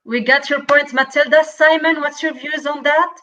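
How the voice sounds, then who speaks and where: A woman hosting a panel discussion, speaking in halting phrases with repeated words as she puts questions to the others. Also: sits high, steady tone, pushed and bright, dull audio. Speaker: podcast host.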